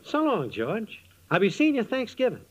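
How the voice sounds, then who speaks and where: A man's voice: high-pitched, huge pitch swings, normal speed, exaggerated voice. An actor playing a breezy wise-guy schemer in an old-time radio comedy, with cocky, slangy patter. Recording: dull old radio recording.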